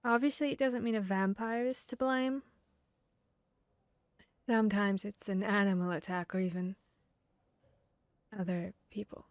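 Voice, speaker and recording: A woman acting out a studious fantasy vampire hunter in an ASMR roleplay. She has a medium-pitched voice and thinks aloud in an earnest, slightly hesitant, conversational way. The recording is dry, with hardly any room sound. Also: steady tone, measured pace, pauses often, no echo, natural voice. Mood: earnest explaining.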